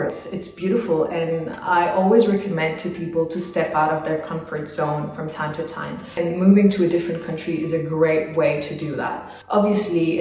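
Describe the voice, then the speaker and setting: A woman's voice: lowish voice, a little mellow, recorded from a distance. Young woman, Spain-raised travel vlogger, casual rambling Q&A, heavy room echo. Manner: chatty, lots of "like" fillers. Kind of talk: casual Q&A chat.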